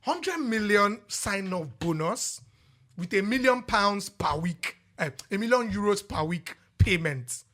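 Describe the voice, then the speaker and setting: A young Nigerian man co-hosting a casual podcast chat, excitable and quick to laugh as he talks. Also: sits high. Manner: animated, excitable, laughs mid-sentence. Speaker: podcast co-host.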